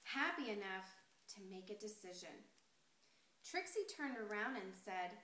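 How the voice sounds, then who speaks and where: Bright-voiced woman reading a children's story, unhurried. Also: mid-range voice, animated. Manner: deliberate, addressing young listeners with questions. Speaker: storyteller reading a picture book aloud. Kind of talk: expressive children's story read-aloud. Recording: roomy, echoey sound.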